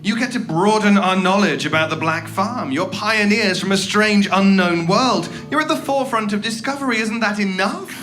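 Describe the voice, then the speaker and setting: Man playing impatient interrogator, secretive and condescending, fairly high voice, horror audio drama, prominent music underneath. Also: normal speed, few pauses, fairly bright tone. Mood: evasive, mocking.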